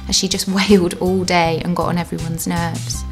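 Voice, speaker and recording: A woman's voice: on the low side, flat delivery, moderate pace, over loud music, natural voice. A woman playing a hospital ward nurse in a training film, speaking in a casual, polite and agreeable manner. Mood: weary, candid. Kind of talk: acted training film scene.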